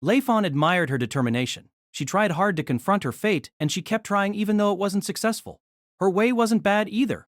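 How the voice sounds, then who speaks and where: A narrator reading a novel aloud as an audio drama with expressive storytelling. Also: medium pitch, brisk, dry recording, studio quality.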